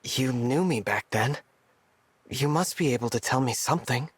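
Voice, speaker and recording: An actor playing an earnest, soft-hearted young hero in a video game, hesitant and sincere. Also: male voice, medium pitch, normal speed, breathy edge, no echo, natural voice. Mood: uneasy, sincere. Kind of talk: scripted video game voice acting.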